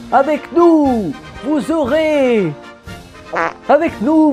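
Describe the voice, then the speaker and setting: A woman's voice: high voice, a little mellow, voice pushed up. A woman voicing a pompous political party spokesman reading a campaign ad. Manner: slow, pompous slogan delivery.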